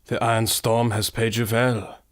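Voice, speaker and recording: A man's voice: mid-range voice, little pitch movement, normal speed, close to an everyday voice. A man playing a cold, commanding villainous agent, curt and imperious, in an old-time radio adventure.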